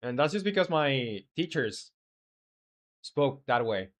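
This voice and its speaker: A man speaking at a mid pitch, and his first language is Spanish. He talks casually on a live coding stream, working things out aloud in halting bursts full of hesitations. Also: very animated. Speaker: game developer streaming live.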